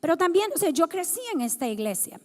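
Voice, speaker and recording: High-voiced woman in a reverberant church. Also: very animated, quick pace, darkish tone. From Dominican Republic. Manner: conversational, asks the congregation questions. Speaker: guest speaker. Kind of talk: personal testimony sermon. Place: church sanctuary. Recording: long hall reverb.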